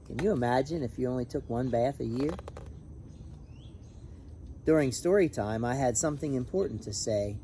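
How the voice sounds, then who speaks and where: A man reading a children's picture book aloud in an expressive, animated, emphatic way. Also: mid-range voice, moderate pace, pauses often, a little hiss or hum. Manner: animated, emphatic on repeated refrain. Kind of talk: expressive storybook read-aloud with brief asides.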